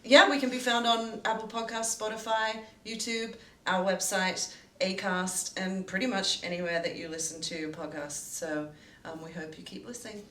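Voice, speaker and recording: A woman co-producer in casual behind-the-scenes talk, speaking in a measured, orderly way with frequent "um"s. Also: medium pitch, fairly even intonation, a bit bright. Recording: echoey room, distant mic.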